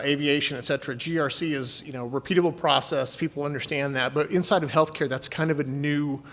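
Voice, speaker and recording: A man's voice: mid-range voice, fairly bright tone. A man from Texas with a light Texan accent, giving a chatty, joking conference presentation to a session audience. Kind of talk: conference talk.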